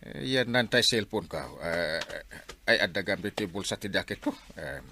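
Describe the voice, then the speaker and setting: An actor playing a lazy, vain village captain in a comedy radio drama, whiny and dismissive, often stammering. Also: male voice, low-pitched, lively intonation, moderate pace, sharp tone, a little hiss or hum, full character voice. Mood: flustered, evasive. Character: lazy, vain village captain obsessed with his online videos.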